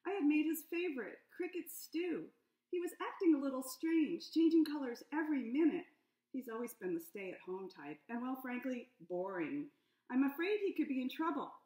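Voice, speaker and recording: A woman's voice: sits high, sing-song, normal speed, dark tone, pitched above the performer's own voice. A fretful lady lizard chatters in a raised, anxious voice, as a woman reads her out. The sound is roomy. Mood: worried. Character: fussy upset female lizard wife.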